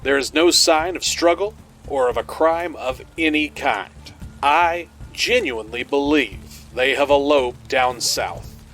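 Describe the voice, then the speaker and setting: A man's voice: medium pitch, a little slow, a bit bright, faint music behind, natural voice. Man acting a blasé, flippant TV field reporter. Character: oblivious, flippant male TV news field reporter. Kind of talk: mock news report acting.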